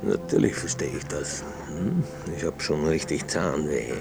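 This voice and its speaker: An actor playing a jaded, flustered late-night radio host in a radio drama, hesitant and shifting between smooth patter and exasperation. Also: male voice, very deep voice, unhurried. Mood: uneasy, placating. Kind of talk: naturalistic radio drama acting. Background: music behind the voice.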